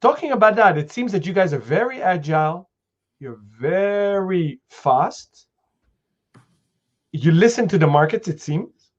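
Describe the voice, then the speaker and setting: Eager male host, slightly high, dull audio. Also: expressive. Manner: quick, enthusiastic, stammers on starts. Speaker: host, interviewer. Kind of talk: live interview.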